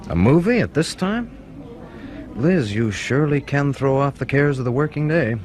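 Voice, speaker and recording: A man's voice: medium pitch, moderate pace, close to an everyday voice. Guy acting an eager young student in a radio play, voice jumps around, informal, bit of hiss. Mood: casual, wry. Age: young adult. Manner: informal, excitable, hesitant bursts. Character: keen, restless young male student. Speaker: actor in a radio drama. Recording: faintly noisy radio drama recording.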